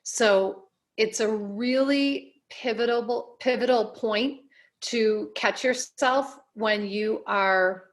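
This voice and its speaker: A woman being interviewed as a life coach, giving advice in deliberate, emphatic phrases with measured repetition. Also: a little high.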